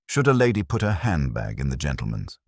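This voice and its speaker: A man's voice: extremely low pitch, huge pitch swings, brisk, slightly rough, dry recording, exaggerated voice. A man voicing a shrewd, dry-tongued woman of a station buffet in a mystery story. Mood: dry, knowing.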